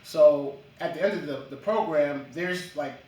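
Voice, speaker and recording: A man from Jamaica, a guest in a casual interview, speaking in a measured way with frequent ums and uhs. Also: a little high, darkish tone. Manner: measured, many ums and uhs, lists achievements. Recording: echoey room from a distance.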